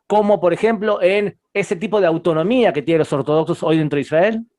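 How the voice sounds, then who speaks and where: A man's voice: high-pitched, fairly quick, darkish tone. A man interviewing a politician, asking direct, pointed questions.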